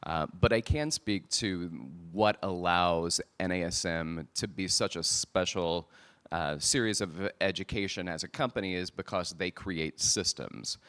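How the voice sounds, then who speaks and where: A man's voice: deep voice, clean tone. A man hosting a podcast live at a conference, chatting energetically with the audience from a handheld mic. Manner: energetic, chatty presenter style with quick asides. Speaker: podcast host. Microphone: handheld microphone.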